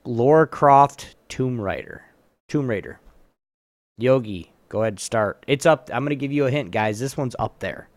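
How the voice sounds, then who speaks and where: A man's voice: mid-range voice, normal speed, no echo. A man hosts a casual trivia game with an ordinary mid-range voice. He talks informally, with some slang and hesitant phrasing. The recording is close and dry. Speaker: host of a trivia game on a live show. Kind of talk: casual chat, reading trivia aloud.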